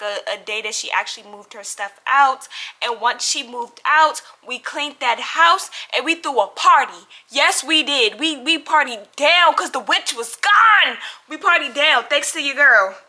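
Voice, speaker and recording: Very bright, high-pitched young American woman. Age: young adult. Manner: dramatic, slangy, acts out quoted dialogue. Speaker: storytime vlogger. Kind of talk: casual animated storytime.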